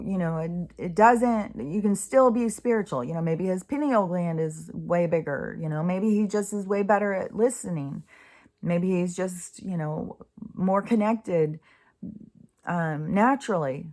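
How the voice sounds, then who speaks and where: A woman's voice: on the low side, darkish tone. A woman in her sixties talking casually and candidly in a rambling spiritual monologue, swearing freely. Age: 60s. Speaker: host.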